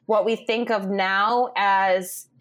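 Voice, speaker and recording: A woman's voice: mid-range voice, fairly even intonation, takes it slowly, a bit bright. A young woman with a Southern American accent, co-hosting a casual chat podcast; she rambles, restarts and laughs often, and sounds keyed up. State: wound-up, nervous energy. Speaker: podcast co-host.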